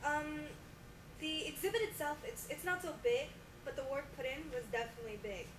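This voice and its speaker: A woman's voice: high-pitched, animated, a little fast. A woman as the guest on a mock talk show interview, speaking hesitantly with many fillers. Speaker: guest scientist. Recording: distant echoey room with loud noise.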